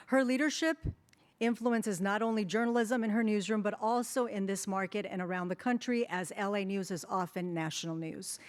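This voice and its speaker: Upbeat woman presenting awards. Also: mid-range voice. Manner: upbeat, with small asides. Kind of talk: reading honoree introductions. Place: event hall at a breakfast banquet.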